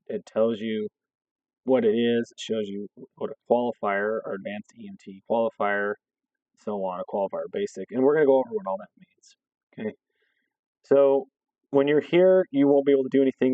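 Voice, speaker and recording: A hoarse man from a fire district staff, explaining a scheduling app step by step and checking in with "okay" as he goes. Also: mid-range voice, mellow, dry recording, dull audio. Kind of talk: screen-recorded software walkthrough.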